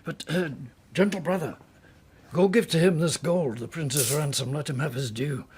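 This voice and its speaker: A male actor with a British accent playing a stately, magnanimous king in a classical radio drama, speaking formally and with measured declamation. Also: fairly high pitch, darkish tone, husky. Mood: gracious, grave.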